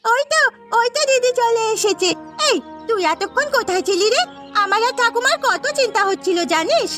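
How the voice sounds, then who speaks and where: A woman's voice: extremely high pitch, expressive, fairly quick, over music, voice pushed up. A woman voicing an anxious, whiny young village girl, a fretful little sister in a cartoon story. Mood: fretful scolding. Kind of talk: Bengali cartoon story acting.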